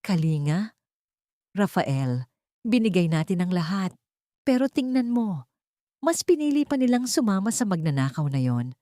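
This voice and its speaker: A woman's voice: mid-range voice, very animated, moderate pace, long pauses, no room sound, studio quality, close to an everyday voice. Woman playing a silky, scheming villainess, swooping intonation. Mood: sharp, scornful. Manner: silky, insinuating, turning sharp. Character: sly, soft-spoken manipulative villainess.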